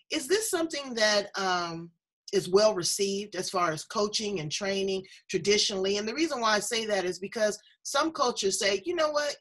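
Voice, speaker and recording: A woman hosting a conversational interview, talkative, effusive and playful, with a husky voice. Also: medium pitch, a bit bright. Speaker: show host interviewing a guest.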